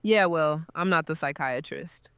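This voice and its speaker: A woman's voice: sits low, unhurried, fairly bright tone, smooth, dry recording, studio quality. A woman playing a blunt, breezy, good-humored friend in an audio drama, talking casually and irreverently. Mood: teasing.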